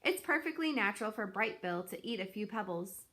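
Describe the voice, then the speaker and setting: A woman's voice: mid-range voice, expressive, fairly quick, sharp tone. A woman voicing a feisty, protective old female goose with a bold, motherly manner in a storybook. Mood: amused, kindly.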